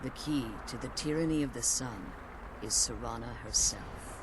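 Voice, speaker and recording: Ancient vampire matriarch, imperious, formal, theatrical, severe, video game dialogue, clear background noise. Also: female voice, very deep voice, normal speed. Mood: grave, solemn. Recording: loud game ambience behind the voice.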